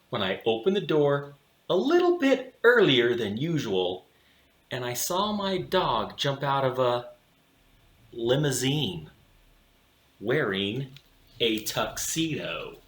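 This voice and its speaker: Slow, animated male storyteller in echoey room. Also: mid-range voice. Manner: theatrical, with deliberate dramatic pauses. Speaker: storybook reader for children. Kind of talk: expressive read-aloud of a children's picture book. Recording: roomy, echoing room sound.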